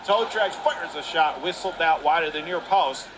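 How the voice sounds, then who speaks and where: Man, high voice, radio hockey play-by-play, clipped phrases, excitable, noticeable rink noise behind. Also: moderate pace. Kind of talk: live hockey play-by-play commentary. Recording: noisy live rink recording.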